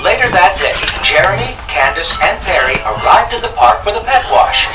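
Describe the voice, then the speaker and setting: A man narrating a children's read-along storybook in an animated, scripted storytelling manner. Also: high voice, normal speed, reverberant, far from the mic, rough recording. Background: music.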